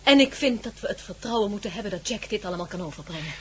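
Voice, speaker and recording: A woman's voice: medium pitch, lively intonation, very fast, breathy edge. A woman playing a confident, trusting companion in a radio play, assertive and earnest. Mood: convinced.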